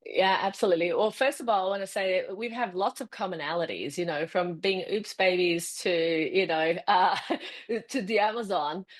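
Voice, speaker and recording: Guest voice: middle-aged Brazilian-born woman, Australian accent, lowish, rambling but thoughtful. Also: normal speed. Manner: thoughtful, lightly rambling explanation with restarts. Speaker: fertility specialist guest. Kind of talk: podcast interview answer.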